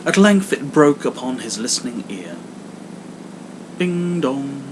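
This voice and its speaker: A man giving a theatrical, deliberate storybook narration with emphatic pauses. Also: very animated. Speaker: audiobook reader. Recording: noisy amateur recording with rumbling hum.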